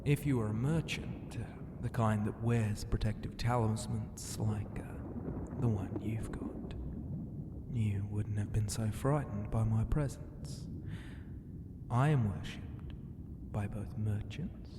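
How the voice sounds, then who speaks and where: A man voicing a huge, proud and flirty ocean god who murmurs in an unhurried, teasing way for an ASMR roleplay. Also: fairly low pitch, noisy background. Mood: curious, teasing.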